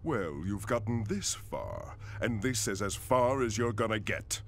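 Exasperated villain scientist, theatrical, scheming, hugely animated, noisy game cutscene audio. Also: male voice, on the low side, measured pace, gravelly, full character voice. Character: pompous, exasperated male mad scientist villain. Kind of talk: video game cutscene acting.

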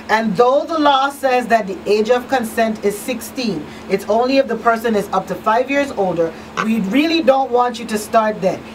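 A woman's voice: mid-range voice, far from the mic, a little hiss or hum, poor audio. A middle-aged woman hosting a radio talk show, addressing listeners directly and emphatically. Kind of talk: public-service talk show wrap-up. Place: small indoor radio studio. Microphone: boom-mounted studio microphone.

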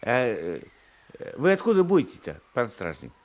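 An actor playing a querulous old peasant husband, hesitant and self-justifying, in a 1980s Soviet radio drama. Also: male voice, medium pitch, huge pitch swings, moderate pace. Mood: deferential. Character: querulous, battered old peasant husband, meek but stubborn.

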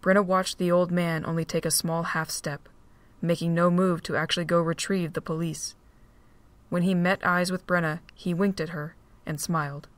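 A woman reading the narration of a fan audio drama in a steady storytelling voice. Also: fairly low pitch, normal speed, no echo.

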